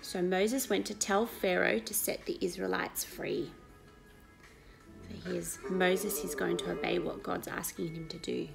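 Woman reading a children's story, creaky edges. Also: fairly low pitch, unhurried, music in the background. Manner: expressive, teacherly, asks the children questions. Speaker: Sunday school story reader. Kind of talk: children's storytelling read aloud with explanations. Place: indoor living room at home.

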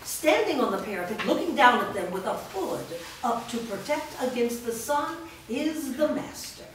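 A woman narrating stage directions at a staged script reading, in a measured, descriptive manner. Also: on the high side, expressive. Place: large bare room with small audience, indoors. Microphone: distant camera microphone. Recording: echoey room, distant mic, faint noise.

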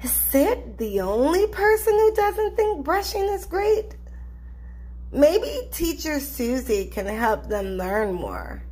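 A woman reading a children's storybook aloud in an expressive, deliberate narrating style. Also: sits high.

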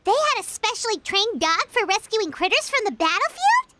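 A woman's voice: very high voice, sing-song, moderate pace, heavy background noise. A woman voicing a tiny floating fairy companion girl who is chirpy and blunt and talks about herself in the third person, in video game voice acting.

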